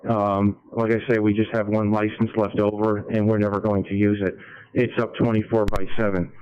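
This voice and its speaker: A man explaining in a measured, businesslike way over a ham radio transmission. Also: lowish voice, clean tone, no echo. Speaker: amateur radio operator. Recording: dull band-limited radio link.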